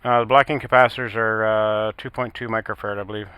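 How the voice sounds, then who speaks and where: A man speaking as an amateur radio operator in casual technical chat, halting now and then and using radio jargon. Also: lowish voice, fairly even intonation, background noise.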